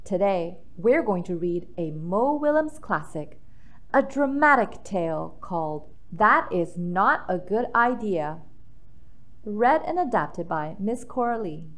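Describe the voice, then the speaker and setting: A woman hosting an expressive read-aloud for young children, addressing them directly in an animated way. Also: medium pitch, slow pace. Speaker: children's story reader and host.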